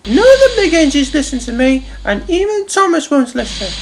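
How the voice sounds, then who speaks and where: A man doing a high, whiny little engine. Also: big pitch swings, brisk, exaggerated voice. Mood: earnest. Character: small anxious boy engine, touchy, polite. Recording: music playing behind.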